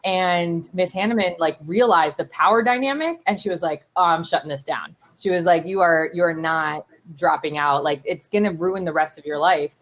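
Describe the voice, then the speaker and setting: A woman in her thirties from Miami whose first language is Spanish, telling her story in a casual interview with lots of fillers and animated asides. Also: fairly low pitch, normal speed. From Miami, Florida. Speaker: startup CEO and keynote guest. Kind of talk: conversational interview.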